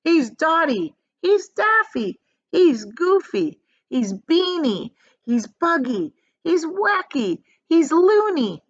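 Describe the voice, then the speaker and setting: Woman acting outraged, alarmed storybook parent, high-pitched. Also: sing-song, slow, dull audio, full character voice. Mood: alarmed outrage. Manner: emphatic, exclaiming. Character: panicked, frightened crowd of storybook parents, outraged.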